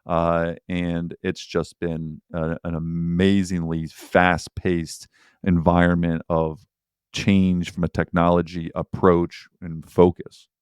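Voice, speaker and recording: A man's voice: deep voice, darkish tone, clear, resonant tone, no echo, clean studio sound. A man co-hosting a podcast, talking in a casual, reflective and earnest way. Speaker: podcast co-host, firm owner. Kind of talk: casual chat.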